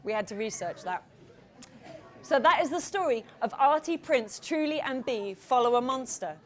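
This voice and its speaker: Female author reading to kids: playful, expressive, high voice with big swoops. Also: moderate pace. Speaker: children's author reading aloud. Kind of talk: animated storytelling to a live audience of children.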